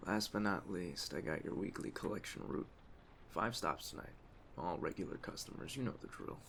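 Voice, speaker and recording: Aging mafia don, played by a man: very deep, creaky, measured authority, streetwise ASMR roleplay delivery. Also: expressive, fairly bright tone, heavily acted. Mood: businesslike, calm. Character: calm, commanding aging mafia boss giving orders. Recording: clear ambient storm noise behind. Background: storm ambience.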